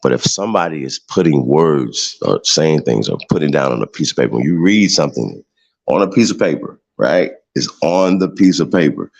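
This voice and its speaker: Deep gravelly man, preachy and emphatic. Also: lively intonation, moderate pace, darkish tone, lo-fi sound. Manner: emphatic, preachy, repeats himself with many "right?" tags. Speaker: show host. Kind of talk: heated livestream monologue.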